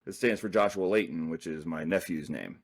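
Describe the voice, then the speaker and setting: A man's voice: sits low, fairly quick. A man talking as a guest author in a casual interview, rambling loosely with many fillers.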